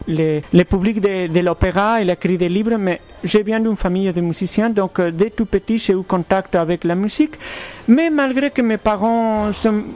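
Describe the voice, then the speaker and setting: A man from Argentina with a fairly high voice speaks as a composer in an interview. He picks his words slowly, with false starts and repeated words. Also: darkish tone. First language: Spanish. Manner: thoughtful, halting, many restarts. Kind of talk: radio interview.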